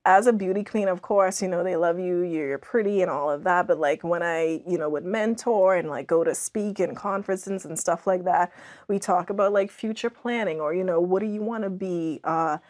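A woman's voice: fairly low pitch, moderate pace, a little mellow. A young woman from the Bahamas talking casually and reflectively as an interview guest, often saying "like" as she explains. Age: young adult. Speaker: podcast guest, author. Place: indoor podcast studio, seated in armchair.

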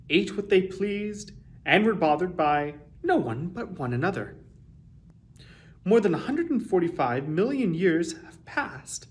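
Male storytime host, thirties, reads to children with expressive, very varied intonation. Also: high-pitched. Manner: expressive, carefully enunciated storytelling. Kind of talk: reading a children's picture book aloud. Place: small indoor room with shelves.

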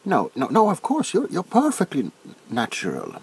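A middle-aged man playing a nervous, bewildered, awkwardly polite doctor in a soft-spoken comedic ASMR roleplay, stammering and repeating himself. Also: on the high side, huge pitch swings, moderate pace, vocal fry, a little hiss or hum, exaggerated voice. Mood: awkward, flustered. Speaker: ASMR performer.

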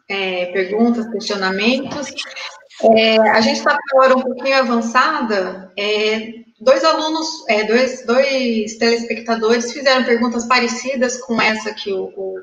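A woman's voice: fairly high pitch, measured pace, fairly bright tone. Woman moderator in an echoey, muffled room. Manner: hesitant, with frequent filler sounds while reading. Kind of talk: moderating a panel, reading audience questions. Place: home room, indoors. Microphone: video call.

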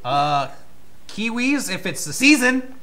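Young American man, high bright deadpan voice. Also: takes it slowly. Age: young adult. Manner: deadpan, blunt, teasing. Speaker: podcast host. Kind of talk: comedic podcast banter. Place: indoor room with arcade cabinets.

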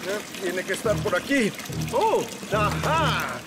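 A man's voice: high voice, very animated, slow pace, very noisy, heavily acted. A man with a Latin American Spanish accent voicing a cheerful, bumbling cartoon handyman who chats and hums to himself as he works. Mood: flustered. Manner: chatty, talks to himself, hums while working. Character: cheerful bumbling handyman Mike, middle-aged. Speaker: cartoon character. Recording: studio dub under loud music. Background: loud background music.

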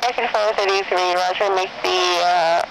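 A male tower controller fires off clipped instructions over a noisy radio. His voice is high for a man and gravelly. He keeps it terse and procedural. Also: fairly even intonation, brisk. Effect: air traffic radio. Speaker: airport tower air traffic controller. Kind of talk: clipped radio air traffic phraseology. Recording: noisy air traffic radio transmission.

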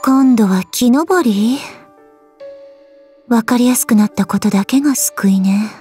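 A woman voicing a cool, aloof, quietly caring gorgon swordswoman in a game, languid, deadpan and curt, with a soft, husky voice. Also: a little high, measured pace, faint music behind, lightly acted. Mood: dry, resigned. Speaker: voice actress. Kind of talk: scripted Japanese mobile game character lines.

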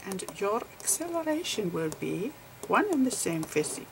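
A woman voicing an eccentric, patient male foreign physicist in an ASMR roleplay, whispery, with halting non-native grammar. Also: mid-range voice, sing-song, background noise. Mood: measured explaining. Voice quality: whispery, breathy.

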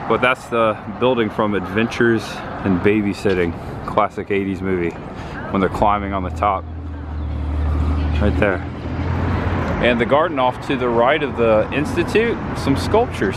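A man in his thirties narrating a casual walking tour to his camera, chatty and easily excited as he points things out. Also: medium pitch. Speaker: vlogger. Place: busy city park and streets outdoors. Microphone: handheld camera at arm's length. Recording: loud crowd and street noise outdoors. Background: crowd chatter and traffic.

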